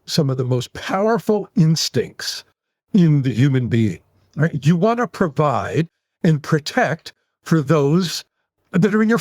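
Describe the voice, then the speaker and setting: A man in his sixties with a New York accent talks in long, animated run-on sentences as a podcast interview guest. His voice sits fairly high for a man. The recording is dry and close. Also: no room sound. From United States. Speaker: guest psychologist.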